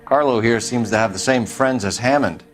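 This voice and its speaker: An actor playing an earnest, persistent 1940s American police detective in a crime game, with formal, clipped questioning. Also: male voice, mid-range voice, moderate pace, close to an everyday voice. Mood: businesslike. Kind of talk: video game acting, period crime drama. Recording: music behind the voice.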